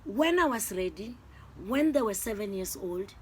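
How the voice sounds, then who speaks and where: An older woman, telling the story of her family in a casual interview, in a measured, earnest way with pauses. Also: mid-range voice, lively intonation. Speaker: adoptive mother, interviewee. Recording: faint background noise.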